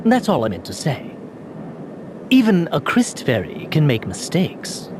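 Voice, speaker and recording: Troubled, halting young hero, animated pitch. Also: male voice, background noise. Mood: hesitant, uneasy. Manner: halting, hesitant, dramatic. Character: troubled young man with fragmented memories, earnest and doubting. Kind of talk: English video game voice acting.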